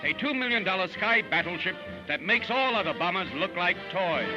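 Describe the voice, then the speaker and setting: Male American newsreel narrator, 1940s style, high voice, punchy drama, loud score behind. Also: lively intonation, normal speed, dull audio. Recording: band-limited 1940s newsreel soundtrack, loud music. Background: loud newsreel music.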